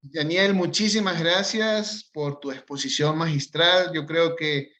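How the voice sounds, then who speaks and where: A man's voice: on the high side, steady tone, a little slow, sharp tone. A man moderating an online talk, politely reading out audience questions over a video call. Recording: dull lo-fi sound.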